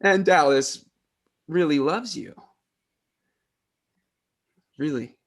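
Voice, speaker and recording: A man playing an awkward, earnest teenage brother in a sitcom table read, stammering and halting. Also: a little high, lively intonation, heavily acted. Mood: earnest reassurance.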